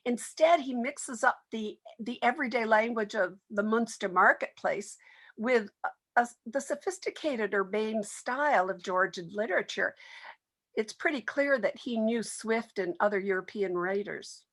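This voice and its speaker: A woman's voice: a little high, measured pace, a bit bright. A woman speaking as a literary expert in an interview, explaining her subject at length.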